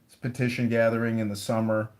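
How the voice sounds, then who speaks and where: A man's voice: fairly low pitch, little pitch movement, quick pace, darkish tone. A man running for office, talking casually in an interview, blunt and rambling, with the odd swear word. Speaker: mayoral candidate.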